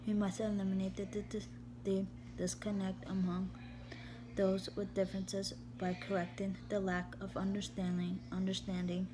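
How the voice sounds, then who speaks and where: A woman reading a text aloud slowly and haltingly in a steady, even tone, often repeating words and restarting. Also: mid-range voice. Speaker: vlogger. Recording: steady background hum.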